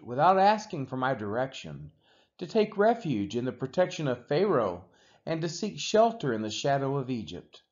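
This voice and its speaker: A man reading the Bible aloud in a solemn style, with deliberate, measured phrasing and pauses. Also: medium pitch, darkish tone. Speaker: scripture reader. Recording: dull, lo-fi audio.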